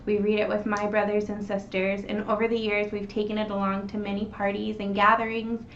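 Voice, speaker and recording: A woman's voice: medium pitch, monotone, brisk, reverberant. A woman speaking casually, introducing a family reading in a home video. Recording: dull home recording, faint noise.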